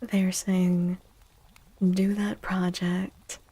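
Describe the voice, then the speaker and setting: A woman softly speaking and whispering in a gentle, hushed ASMR style, as a livestream host giving a tarot reading. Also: on the low side, little pitch movement, husky, no room sound. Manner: gentle, hushed, unhurried, soothing repetition.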